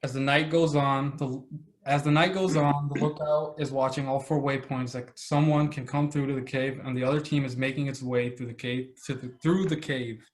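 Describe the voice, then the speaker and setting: A man's voice: mid-range voice, little pitch movement, moderate pace, poor audio. A man narrating scene directions from a script at an amateur table read.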